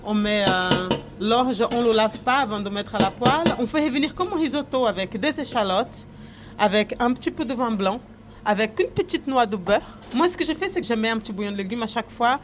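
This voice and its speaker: A woman's voice: a little high, lively intonation, brisk, noisy background. A middle-aged woman chef from Brazil with a Brazilian accent, chatting casually while cooking, with many fillers. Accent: Brazilian Portuguese. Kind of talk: casual interview and cooking demonstration.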